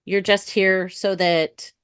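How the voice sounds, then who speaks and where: A woman's voice: medium pitch, little pitch movement, takes it slowly, clean tone, no room sound, studio quality. A woman in her fifties with an American accent, talking candidly and casually as a guest in an interview. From United States. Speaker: interview guest, retired police dispatcher.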